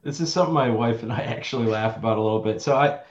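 A man's voice: mid-range voice, racing. A man in his early forties from Wisconsin, chatting casually as an interview guest, upbeat with lots of filler words. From Wisconsin, USA. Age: early 40s. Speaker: guest, fantasy football player. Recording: roomy, dull lo-fi sound.

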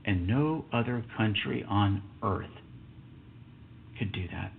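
A man's voice: fairly low pitch, slow, creaky, slight background noise. A man in his fifties from Texas hosting a solo podcast, telling a story in a reflective, emphatic way and dropping to a whisper for asides. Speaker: podcast host. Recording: tinny phone-like sound.

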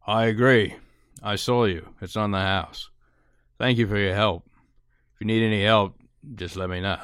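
A man plays a courteous, concerned local in a radio play. His voice is very deep and clean, recorded close and dry. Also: steady tone, normal speed, a bit bright, clear, resonant tone, no room sound, lightly acted. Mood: polite, concerned. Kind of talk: radio drama acting.

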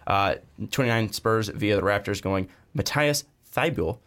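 Low-voiced man hosting casual podcast. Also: normal speed. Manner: relaxed, hesitant, frequent fillers. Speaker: podcast host. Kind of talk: casual sports podcast chat.

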